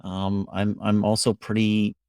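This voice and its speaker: A middle-aged man hosts a tech interview from his home office over a video call. His voice sits a little low, and he asks curious questions in a loose, conversational way. The sound is dry, with almost no room echo. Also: completely flat delivery, measured pace, a little mellow. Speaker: tech show host.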